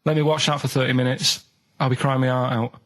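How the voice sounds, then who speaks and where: A man's voice: mid-range voice, level tone, fast talker, a bit bright. A man with a Manchester accent chatting on a radio show, rambling earnestly and a bit reluctantly. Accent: northern English, Manchester. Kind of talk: casual radio chat.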